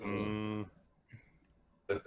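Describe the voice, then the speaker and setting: A man's voice: lowish voice, monotone, drawn-out words, faint music behind. A man playing a tabletop game, talking in clipped military style as his character.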